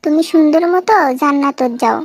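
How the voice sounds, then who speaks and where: A woman's voice: high-pitched, a little fast, darkish tone. A woman voicing a haughty, bullying young daughter of a village chairman in a comic cartoon, with a northern Bangladeshi accent and a domineering manner. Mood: mock innocent. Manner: scolding, domineering, threatening.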